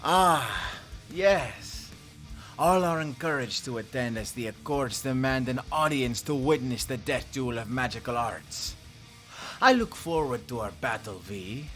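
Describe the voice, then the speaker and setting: A man playing a pompous, formal heroic magician in an audio roleplay, ceremonious and quick to take offence. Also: medium pitch, expressive, fairly bright tone, faint music behind. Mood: grand, declaiming.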